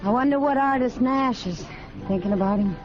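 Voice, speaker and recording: A woman's voice: a little high, brisk, close to an everyday voice. An actress playing a knowing, teasing frontier woman in an old radio Western, wry and familiar in manner. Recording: dull vintage radio recording with music.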